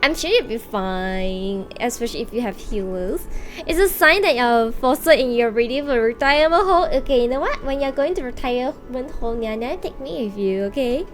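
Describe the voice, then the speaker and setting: A woman's voice: fairly high pitch, expressive, moderate pace, hardly any pauses. A woman livestreamer chatting casually and playfully with viewers, using gamer slang and the odd swear word, often thinking aloud. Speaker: live game streamer. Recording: music behind the voice. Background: video game soundtrack.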